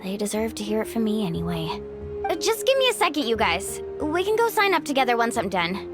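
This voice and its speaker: An upbeat young warrior woman for a video game. Her high voice moves in lively swings, breezy and sure of itself, over clear background music. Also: brisk. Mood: breezy, friendly. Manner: breezy, chatty, self-assured.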